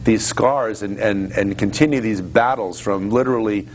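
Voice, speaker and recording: A man hosting a casual talk-show conversation, speaking in a halting, reflective way and often repeating words as he thinks. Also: on the low side, poor audio. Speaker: host. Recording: faint background noise.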